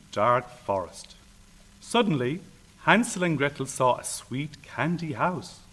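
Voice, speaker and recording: A man reading a children's fairy tale aloud in an expressive, deliberate, theatrical way. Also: medium pitch.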